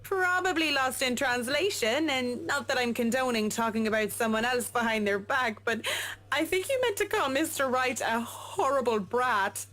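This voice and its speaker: An actress playing a polite, professional interior designer with a tactful, measured manner in a video game. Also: female voice, sits high, expressive, fairly bright tone.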